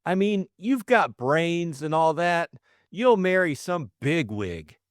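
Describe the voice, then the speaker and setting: A man's voice: fairly high pitch, big pitch swings, slow pace, no echo, studio quality, voice pushed up. A man doing a stammering lovestruck young Englishman. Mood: earnest pleading. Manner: stammering, breathless and eager.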